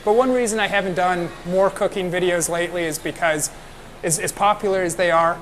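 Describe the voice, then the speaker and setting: A man's voice: sits high, poor audio. A young American man interviewing a guest on a film set, chatty and joking about himself. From United States. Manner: chatty, self-deprecating, quick to joke. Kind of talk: casual interview chat. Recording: loud background noise on set.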